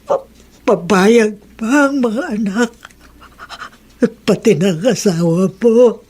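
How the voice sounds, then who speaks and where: Wounded, humble older mother for radio drama; husky, slow, tearfully halting. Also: medium pitch, darkish tone. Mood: hesitant disbelief. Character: aging, sorrowful mother living in a leprosarium, wounded and humble. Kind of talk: Tagalog radio drama acting.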